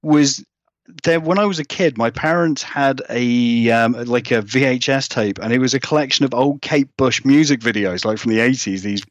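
A man's voice: mid-range voice, normal speed, dry recording. A man chatting informally as an interview guest, in a rambling, self-deprecating way. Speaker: horror writer, interview guest. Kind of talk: casual interview chat.

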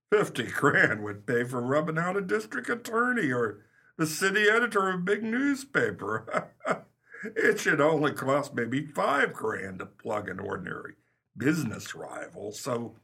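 Man acting a husky, animated police captain. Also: a little high, heavily acted. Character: gruff 1930s police captain, brisk big-city boss. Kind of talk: pulp crime radio play acting.

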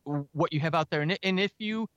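A talkative, energetic man speaking casually as a guest in an interview about his creative work. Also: on the high side, fast, smooth, dry recording, highs cut off. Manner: energetic, talkative, self-described extrovert. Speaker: audio drama writer and podcaster, interview guest.

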